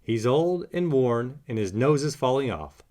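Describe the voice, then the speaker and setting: A man reads a children's story aloud. His medium-pitched voice swings widely and expressively, in the manner of animated picture-book narration. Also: unhurried.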